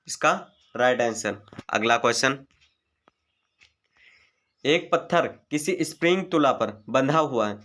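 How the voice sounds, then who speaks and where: A man's voice: on the high side, sharp tone. A man teaching an exam-prep lesson, methodically reading out multiple-choice questions, their options and the right answers. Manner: methodical, formulaic delivery.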